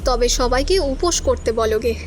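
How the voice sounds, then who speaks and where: An actress playing a young widow who is tired and halting at first, then firm and resentful. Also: female voice, on the high side, background noise, lightly acted. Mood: weary. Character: young widow, meek but defiant when pushed. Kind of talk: Bengali audio drama acting.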